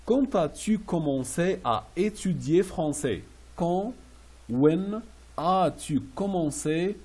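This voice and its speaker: Slow, husky man drilling French phrases. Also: fairly high pitch, lively intonation, darkish tone. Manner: repeats phrases slowly, translating each into English. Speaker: French teacher. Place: Riyadh.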